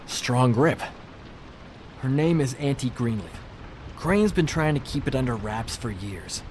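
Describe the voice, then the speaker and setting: An actor playing a slippery small-time thief in a video game, talking in glib, ingratiating, hedging patter. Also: male voice, medium pitch, lively intonation, unhurried, a little mellow, a little hiss or hum, close to an everyday voice. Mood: sly bargaining.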